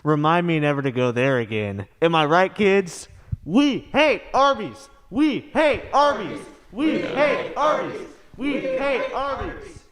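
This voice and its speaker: A snide radio-play emcee, acted by a young man, with a voice that swings widely in pitch. He is sarcastic and dismissive, playing to the crowd. The sound carries a long hall reverb. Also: expressive, takes it slowly, fairly bright tone, heavily acted. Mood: mocking, rowdy. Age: young adult. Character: snide, heckling battle-of-the-bands emcee. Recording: echoey hall reverb, faint noise.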